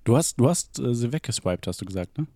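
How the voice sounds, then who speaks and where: A man's voice: medium pitch, expressive, quick pace, mellow, no room sound. A young man co-hosting a podcast, chatting casually, rambling and joking with frequent laughs. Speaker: podcast co-host. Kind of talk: casual banter.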